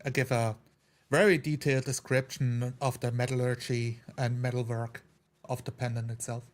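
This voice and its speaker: Brief: man, tabletop player, medium pitch, even monotone, casual first-person game narration. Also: normal speed.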